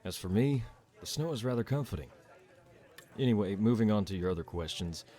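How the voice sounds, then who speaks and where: Very deep-voiced, calm tavern bartender acted by a man. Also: fairly quick, lightly acted. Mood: easygoing, amused. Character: seasoned male bartender, ex-royal guard, calm, avuncular. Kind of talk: amateur fantasy audio roleplay acting.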